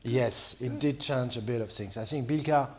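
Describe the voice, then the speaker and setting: A man from France, a champagne producer telling stories in a rambling, animated way, full of restarts and "you know". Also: medium pitch, normal speed. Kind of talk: storytelling in casual conversation.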